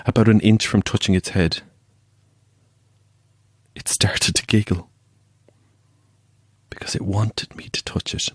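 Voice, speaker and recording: Slow, low-voiced haunted man. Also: fairly even intonation, slightly rough, dry recording. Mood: dread. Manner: deliberate, halting, suspenseful storytelling. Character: haunted male paranormal believer recounting a chilling encounter. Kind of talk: audio drama monologue.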